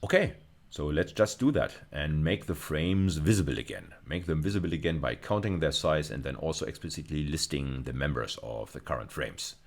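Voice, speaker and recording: A man giving an explanatory lecture, speaking deliberately and emphatically, often repeating and rephrasing key phrases. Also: a bass voice, animated. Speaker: university lecturer.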